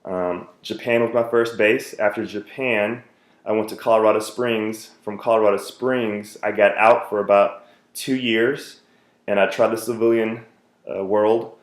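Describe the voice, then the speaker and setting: A man's voice: fairly low pitch, fairly even intonation, unhurried, roomy sound. Earnest man giving a class speech. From United States. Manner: earnest, with many fillers and restarts. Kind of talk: introductory speech, recorded.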